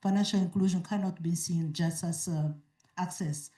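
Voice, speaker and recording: A woman's voice: lowish voice, fairly even intonation, moderate pace, warm tone. Woman panelist in echoey hall. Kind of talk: conference panel answer. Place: conference auditorium. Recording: echoey hall mic from a distance.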